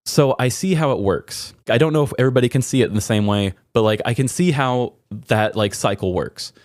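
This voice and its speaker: A man's voice: medium pitch, brisk, a little mellow, dry recording. A man talking informally and introspectively, as a podcast host in a candid personal conversation. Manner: introspective, informal, occasional swearing.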